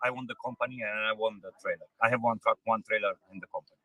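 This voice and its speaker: A man in his forties from Romania, a trucker with a Romanian accent, answering interview questions plainly in halting non-native English. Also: lowish voice, steady tone, sharp tone, no room sound, rough recording. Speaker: truck driver being interviewed. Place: inside a truck cab.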